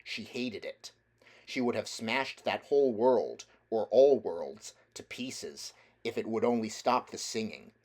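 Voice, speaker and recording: A man reading a children's novel aloud as a narrator, in a measured, deliberate storytelling manner. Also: lowish voice, a little mellow. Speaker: audiobook reader.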